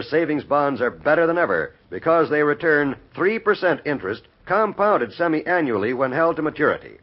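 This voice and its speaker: A man reading a 1950s radio advertisement as an announcer, with polished, persuasive delivery. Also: mid-range voice. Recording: muffled vintage radio recording.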